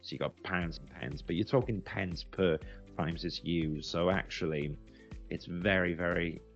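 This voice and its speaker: Deep-voiced British man, creaky. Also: measured pace, lo-fi sound, faint music behind. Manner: conversational, explanatory, emphatic word repetition. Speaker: recruitment agency founder giving a software review. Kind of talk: casual, unscripted testimonial.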